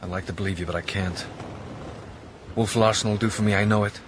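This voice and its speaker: An actor playing a despairing, resigned sailor in a radio drama, gloomy in manner. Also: male voice, fairly low pitch, fairly even intonation, fairly quick, noisy background, close to an everyday voice. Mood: resigned, hopeless. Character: despairing, resigned sailor fearing for his life.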